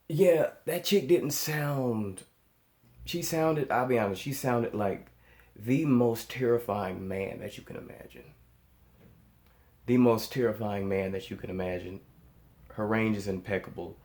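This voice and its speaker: A man's voice: medium pitch, slow, pauses often, darkish tone, roomy sound. A man giving casual, awestruck reaction commentary as a host, emphatic and often repeating phrases for effect. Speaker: host of a music reaction show.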